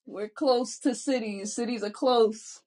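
A woman with a Boston accent, chatting casually and answering with quick, supportive affirmations. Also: fairly high pitch, darkish tone. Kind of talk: casual chat.

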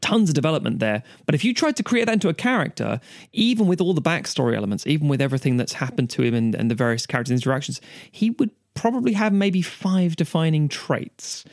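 A man's voice: a little high, very animated, quick pace, dry recording, clean studio sound. A man in a casual podcast discussion, giving opinions emphatically and voicing imagined quotes as he argues. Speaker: podcast panelist.

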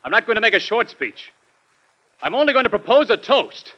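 An actor playing an outspoken, earnest young gentleman, a president's son, in an old-time radio drama. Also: high voice, sing-song, brisk. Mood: boldly mocking. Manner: earnest, forthright, formally polite. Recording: muffled vintage radio recording.

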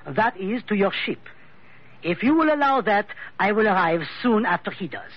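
A suave French investigator in a vintage radio thriller. His voice is high and husky, with a French accent and formal, courteous phrasing that swoops up and down. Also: expressive, normal speed. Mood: smooth, sly. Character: suave, suspicious Frenchman, insurance investigator with a pistol. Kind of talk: old-time radio drama acting. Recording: dull vintage radio recording.

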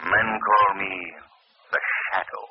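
An actor playing a stern, taunting invisible crime fighter, deliberate and menacing, in old radio mystery style. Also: male voice, fairly low pitch, flat delivery. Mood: ominous. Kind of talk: 1940s radio mystery acting. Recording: dull, noisy old radio recording.

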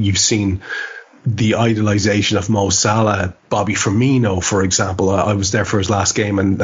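A man's voice: fairly low pitch, slightly rough. A man with an Irish accent hosting a casual football podcast, wordy and meandering, mixing swearing with ornate phrasing. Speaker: podcast host. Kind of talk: casual chat about football. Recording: dull, lo-fi podcast audio.

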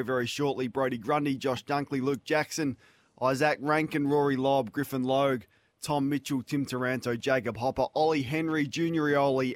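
A man with an Australian accent hosting a sports radio show, delivering news and reading out lists briskly. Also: mid-range voice, no room sound.

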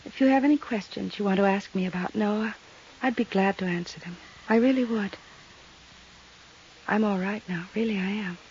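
An actress playing a distraught, fragile widow in a 1950s radio drama, hesitant and drifting. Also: female voice, medium pitch, moderate pace, darkish tone, lightly acted. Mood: wistful, confused. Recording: dull, hissy 1950s radio recording.

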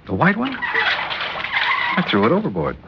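An actor playing a loyal, level-headed junior navy officer in a radio drama, reasonable and matter-of-fact. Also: male voice, on the high side, huge pitch swings, takes it slowly, exaggerated voice. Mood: reflective. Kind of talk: 1960s radio drama acting. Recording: muffled, noisy vintage radio recording.

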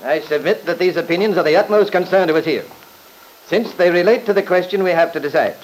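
An actor playing a shrewd, courtly lawyer who questions in a measured, formal, probing way, in old-time radio drama style. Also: male voice, high voice, natural voice. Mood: firm, insistent. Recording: noisy vintage radio recording.

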